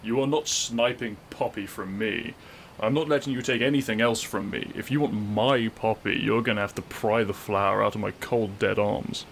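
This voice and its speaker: An actor playing a brash, possessive warrior prince in a romantic roleplay audio drama, gruff, boastful and taunting. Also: male voice, on the low side, fairly even intonation, moderate pace, slight background noise. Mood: combative. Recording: music under the voice.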